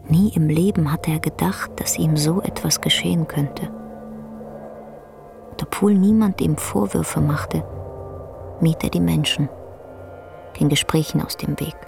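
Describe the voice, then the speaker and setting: A woman playing the storyteller of a somber tale, narrating a radio drama in a measured, grave, literary way. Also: deep voice, vocal fry, heavily acted. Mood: grave, hushed.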